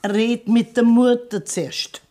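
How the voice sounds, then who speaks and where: A woman's voice: fairly high pitch, fairly even intonation, slow pace, mellow. An actress playing an anxious, practical grandmother on a farm, with a Bavarian accent, plain-spoken and pleading in a radio play. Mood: worried, pleading. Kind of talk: 1970s German radio play acting.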